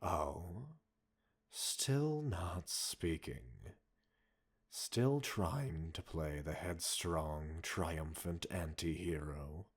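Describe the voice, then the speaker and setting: Guy doing a cold villain scientist for audio roleplay, real slow and raspy, condescending, possessive, quietly menacing. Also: deep voice, animated, full character voice. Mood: mocking menace. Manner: slow, measured, mocking, formal speech. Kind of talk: dramatic audio roleplay acting addressed to listener.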